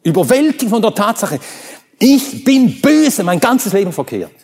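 Male preacher, native Swedish, emphatic sermon delivery with soaring high exclamations, fairly high voice, long hall reverb. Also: big pitch swings, measured pace, a little mellow, slightly rough. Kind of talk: sermon with Bible reading.

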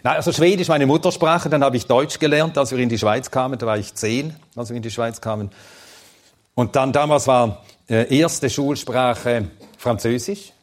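A man's voice: mid-range voice, moderate pace. A man whose first language is Swedish, teaching a Bible seminar and answering audience questions in a thoughtful, plain-spoken way with some hesitant fillers.

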